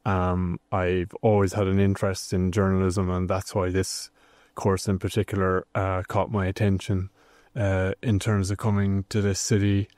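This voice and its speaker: A man's voice: sits low, level tone, normal speed, a little mellow, no room sound. A man from the west of Ireland with an Irish accent, introducing himself as a student, hesitant with frequent "um". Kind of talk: self-introduction.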